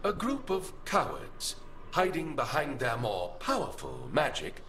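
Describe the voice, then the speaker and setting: An actor playing a haughty, grandiose archive spirit in a video game, speaking formally and with condescension. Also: male voice, mid-range voice, huge pitch swings, a little slow, a bit bright, slight background noise. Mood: scornful, grandiose. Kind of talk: video game character dialogue.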